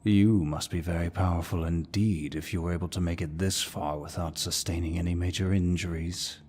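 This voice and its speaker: A man playing a suave, dominant demon lord who speaks in a deep, husky voice with mocking courtesy, as in an ASMR villain roleplay. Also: fairly even intonation, a little mellow, slight background noise, lightly acted. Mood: condescending. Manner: slow, mocking, mock-courteous, theatrical.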